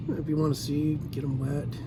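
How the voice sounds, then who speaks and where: A man's voice: on the high side, flat delivery, moderate pace. Easygoing man tying flies, noisy room. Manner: easygoing, talks while working, frequent ums. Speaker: fly tying instructor. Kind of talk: relaxed step-by-step tutorial.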